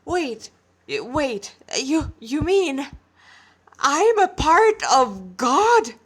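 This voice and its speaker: A woman's voice: high voice, sing-song, very slow, pitched above the performer's own voice, full character voice. A woman voicing a sardonic young dead cadet boy in a story, exasperated and incredulous. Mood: bewildered.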